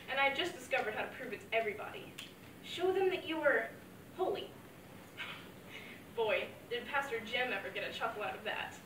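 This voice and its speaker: A woman playing a grieving young mother, high voice, roomy and distant. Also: bright, forceful tone, a little hiss or hum. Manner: heartfelt, wavering between fond memories and anguish. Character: grieving young mother speaking to her dead father. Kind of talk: dramatic monologue.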